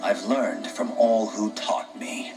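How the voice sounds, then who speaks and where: A man's voice: lowish voice, slow pace. A man playing an earnest, curious young monk champion in fighting game dialogue. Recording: game audio with loud music.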